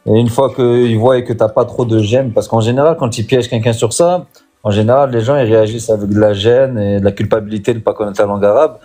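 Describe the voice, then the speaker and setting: A man as a guest on a live online talk, speaking in a calm, supportive and measured way. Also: fairly low pitch. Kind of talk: casual live chat.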